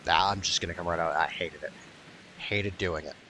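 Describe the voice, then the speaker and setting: A man's voice: sits low, steady tone, a little fast, sharp tone, a little hiss or hum. A man giving casual let's play commentary in a blunt, sweary way. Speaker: gaming video host.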